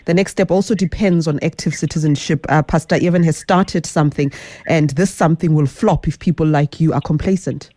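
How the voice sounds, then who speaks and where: A woman hosts a live phone-in show. Her voice is mellow and low for a woman, recorded very dry and close in a studio. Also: fairly quick, dry recording. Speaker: radio talk show host. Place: radio studio. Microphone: close studio microphone.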